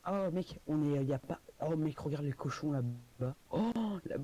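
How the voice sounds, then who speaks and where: A young man chatting casually while playing a video game, reacting with quick excited exclamations. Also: a little high, low-quality recording. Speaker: gamer. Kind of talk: casual gaming chat.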